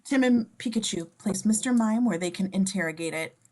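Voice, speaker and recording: A woman's voice: mid-range voice, a little fast, a little mellow. A woman reading scene descriptions in a casual amateur script read-through, as the narrator.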